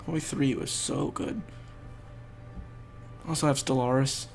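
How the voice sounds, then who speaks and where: A man's voice: medium pitch, takes it slowly, vocal fry, soft background music. A young man with a stuffed-up, fading voice, casually thinking aloud while he plays and explains a strategy game. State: congested, losing his voice. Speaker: gaming video host. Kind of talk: casual gameplay commentary.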